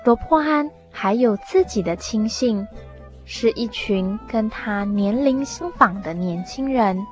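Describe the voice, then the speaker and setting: A woman narrating a scripted radio drama in a measured storytelling manner. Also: fairly high pitch, animated, darkish tone, faint music behind.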